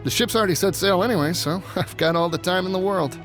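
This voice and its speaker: A man's voice: high voice, fast, over music, close to an everyday voice. A man voicing an easygoing, dreamy harbor local in a video game, speaking in a laid-back, musing way. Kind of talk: animated video game voice acting.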